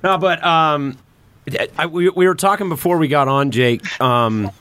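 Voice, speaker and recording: A man's voice: on the high side, unhurried, a bit bright, a little hiss or hum. A man hosting a casual podcast, chatting informally with swearing and banter. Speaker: podcast host.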